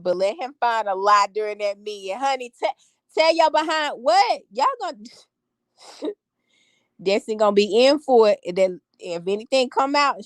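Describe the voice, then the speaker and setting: Woman vlogger in her 30s, strong confident delivery, a bit higher than most, dry close sound. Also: no echo. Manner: emphatic, sassy, repeats phrases for effect. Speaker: vlogger talking to live viewers. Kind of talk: casual storytelling chat.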